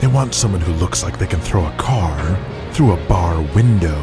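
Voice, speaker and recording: A man voicing a cocky, defiant young wrestling promoter in an audio drama, deliberate and contemptuous. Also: sits low, animated. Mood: scornful. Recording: loud music behind the voice.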